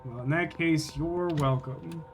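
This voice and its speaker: A man with a slightly high-pitched voice commentates his game live over faint music. He talks to viewers casually and thinks out loud in a wry, rambling way. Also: measured pace. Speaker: video game livestreamer.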